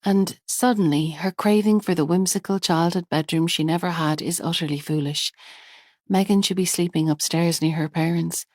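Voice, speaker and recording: Woman reading a thriller, lowish voice, dry close studio sound. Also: fairly even intonation, dry recording. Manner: measured, tense storytelling. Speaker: audiobook narrator. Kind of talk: scripted thriller narration.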